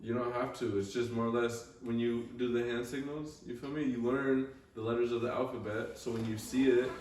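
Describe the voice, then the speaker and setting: A man's voice: medium pitch, steady tone, a little fast, a little mellow. A young man chatting casually with friends in slangy, informal speech. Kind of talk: casual chat. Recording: echoey room, distant mic, faint noise.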